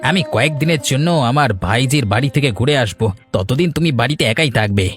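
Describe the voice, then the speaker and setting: A man's voice: mid-range voice, expressive, fast, faint music behind, lightly acted. A man voicing a bossy, grumbling older village uncle in a cartoon. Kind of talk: Bengali cartoon voice acting.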